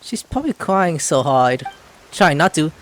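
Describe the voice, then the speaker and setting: Expressive lowish-voiced woman commenting on a game. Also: unhurried, a little hiss or hum. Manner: expressive, opinionated, casually sweary. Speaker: gameplay commentator. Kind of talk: casual game commentary, reading dialogue aloud. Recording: game music faintly behind.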